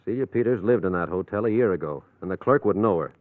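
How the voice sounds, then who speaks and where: An actor playing a shrewd, dogged investigator in a 1940s radio drama, reasoning in a matter-of-fact way. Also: male voice, sits low, lively intonation, fast talker, no room sound, phone-quality sound. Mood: pondering. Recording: thin, band-limited old radio recording.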